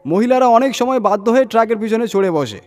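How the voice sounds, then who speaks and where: A man's voice: very high voice, lively intonation, fast talker, a little mellow, soft background music, pitched above the performer's own voice. A man voicing a sceptical, bossy senior truck driver who scolds and dismisses his helper in a horror audio story. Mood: mocking disbelief. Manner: dismissive, challenging, exasperated.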